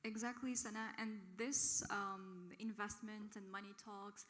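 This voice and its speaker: A woman's voice: medium pitch, fairly even intonation, measured pace, background noise. A woman moderating a conference panel, talking unscripted and somewhat hesitantly as she searches for words. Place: conference hall. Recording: echoey conference hall with long reverb.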